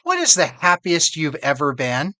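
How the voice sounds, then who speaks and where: A man plays a curious little storybook boy, affectionate and eager. The questions lift upward with animated intonation, recorded dry. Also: fairly high pitch, moderate pace, no room sound, full character voice.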